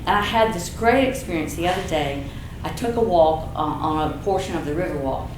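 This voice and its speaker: An older woman with a deep, slightly husky voice, a community speaker opening her talk in a playful, enthusiastic way. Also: a little fast. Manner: playful, enthusiastic, self-deprecating. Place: event room with audience. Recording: echoey room, audible noise.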